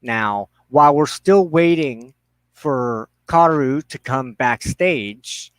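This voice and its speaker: A man hosting a livestream, making introductions and announcements in a halting, deliberate way with pauses mid-phrase. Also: medium pitch, expressive, no echo. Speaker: host of a religious broadcast show.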